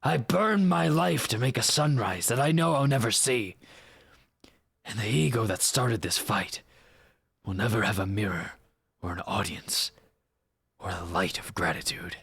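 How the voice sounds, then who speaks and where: Man playing embittered rebel, very husky, slow deliberate delivery, dramatic monologue, dry close sound. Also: lowish voice, a bit bright, dry recording, full character voice. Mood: bitter, grave. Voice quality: raspy. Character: weary, bitter rebel who sacrificed everything for the cause.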